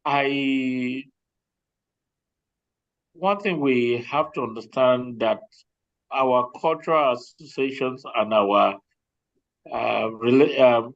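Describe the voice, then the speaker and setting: A man with a medium-pitched voice speaks as an insurance representative in an informal question-and-answer session. His delivery is halting, with repeated phrases. The audio is dull and lo-fi, like an online group call. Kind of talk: informal explanatory Q&A presentation.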